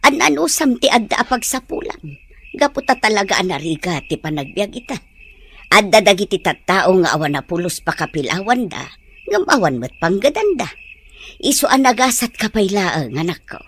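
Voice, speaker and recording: A woman playing a tender, long-suffering mother in an Ilocano radio-style drama, gentle and earnest, with an Ilocano Filipino accent. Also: mid-range voice, very animated, moderate pace, a little hiss or hum. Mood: tender, weary. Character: tender, long-suffering mother counselling her grown son.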